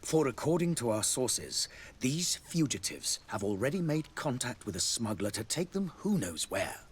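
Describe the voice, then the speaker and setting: A man's voice: medium pitch, sing-song, moderate pace, breathy edge. A man playing a courtly, devout ambassador in a fantasy video game, speaking in a formal, florid, diplomatic way. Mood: confiding, grave. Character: courtly, devout male ambassador of a theocratic nation.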